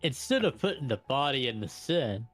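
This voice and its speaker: A man's voice: mid-range voice, sing-song, moderate pace, fairly bright tone, soft background music. A man chatting casually as a player in a tabletop roleplaying game.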